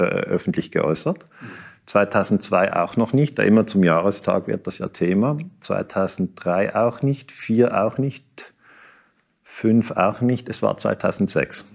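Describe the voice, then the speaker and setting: A middle-aged man, answering interview questions as a historian in a measured, explanatory way, with frequent hesitations and restarts. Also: on the low side, vocal fry.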